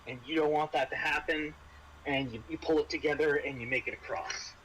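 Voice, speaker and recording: A man with a forward, bright voice sitting a little high in pitch runs a tabletop role-playing game. He moves between explaining dice rules and narrating scenes as game master. Faint noise sits behind the voice. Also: steady tone, a little fast, lo-fi sound.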